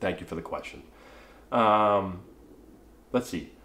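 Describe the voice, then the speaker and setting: Stuffy-nosed coach with a cold. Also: male voice, sits low, animated, slow pace, sharp tone. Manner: casual, coachy, tags lines with "right?". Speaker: baseball coach answering viewer questions. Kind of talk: casual talking-head Q&A. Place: small indoor room, plain wall. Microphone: camera in front, head and shoulders. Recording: echoey room.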